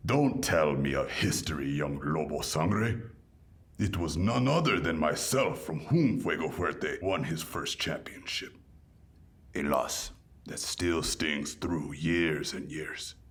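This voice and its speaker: A giant veteran rudo wrestler for an audio drama. His voice is exceptionally low and very animated. He declaims with gruff, proud grandiosity. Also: slow, heavily acted. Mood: proud, brooding. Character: towering, proud veteran villain luchador.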